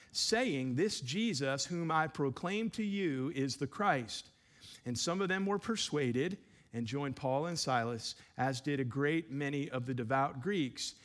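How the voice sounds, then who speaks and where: A man who is a pastor, giving an evening church teaching with a relaxed, self-deprecating manner, many fillers. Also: fairly high pitch. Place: church sanctuary. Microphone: handheld microphone.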